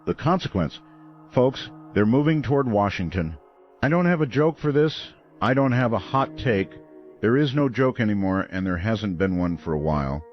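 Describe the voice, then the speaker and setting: An actor playing a grim, weary late-night radio host, speaking gravely and deliberately in an audio horror drama. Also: male voice, on the low side, animated, lo-fi sound, lightly acted. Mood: grave warning. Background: music.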